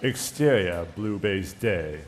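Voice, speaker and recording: Low male narrator over guitar music. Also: animated, slow. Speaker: stage-direction narrator. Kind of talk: scripted narration.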